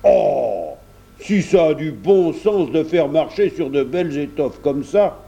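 A man's voice: fairly high pitch, animated, slow pace, vocal fry, dark tone, highs cut off, pitched above the performer's own voice, exaggerated voice. A man voicing a hearty, good-natured countryman in his late thirties who talks in folksy exclamations for a storybook. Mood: amazed. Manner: exclamatory rustic talk with folksy turns.

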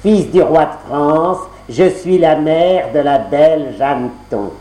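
A man voicing a falsely sweet, scheming stepmother who speaks slowly, in an echoey room. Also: fairly high pitch, mellow. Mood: fawning. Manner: slow and drawn out.

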